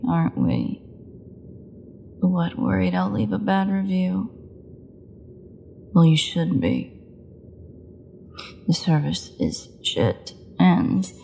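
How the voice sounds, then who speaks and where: Woman acting as a gravelly, sardonic captive vampire woman. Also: on the low side, a little mellow, a little hiss or hum, full character voice. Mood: mocking. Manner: sarcastic, teasing drawl with dramatic drops. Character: captive starving female vampire, sardonic, cocky, menacing, taunting her jailers. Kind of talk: solo audio roleplay acting.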